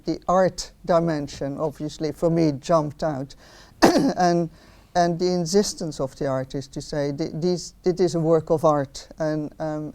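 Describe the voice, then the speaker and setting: A woman's voice: sits low, dark tone, smooth. A woman from the Netherlands with a Dutch accent, giving a public talk and hesitating often with ums and restarts. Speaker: philosopher of art, interviewer. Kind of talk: public talk with many fillers. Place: outdoor garden event.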